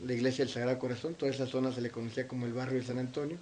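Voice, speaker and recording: A man's voice: medium pitch, little pitch movement, very fast, darkish tone, slight background noise. A middle-aged man with a central-northern Mexican accent, answering as an interview guest in casual conversational recollection. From Zacatecas, Mexico. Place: his own home, indoors.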